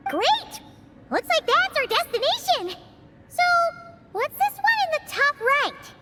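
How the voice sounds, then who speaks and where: A woman voicing a small, excitable, curious childlike fairy companion in a video game, squeaky and exclamatory. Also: slow, heavy background noise, heavily acted. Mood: excited, curious. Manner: exclamatory, refers to self in third person. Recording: loud background music.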